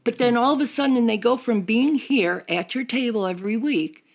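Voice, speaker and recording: Older lady chatting in a church group, creaky voice, earnest, explaining stuff. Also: on the high side, normal speed. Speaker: church seniors' group member. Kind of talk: casual group discussion.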